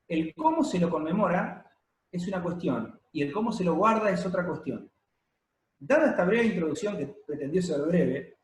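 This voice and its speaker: High-voiced male preacher. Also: slight background noise. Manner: explanatory teaching, frequent pauses and fillers. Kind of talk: sermon. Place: church hall, congregation present and streamed. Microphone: handheld or stand microphone. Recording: echoey room.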